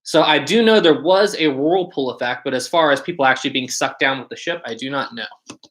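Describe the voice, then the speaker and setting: A man's voice: mid-range voice, brisk, fairly bright tone. A man with a West Virginia accent hosting a casual livestream, chatting informally and answering viewer comments. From West Virginia, United States. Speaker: livestream host.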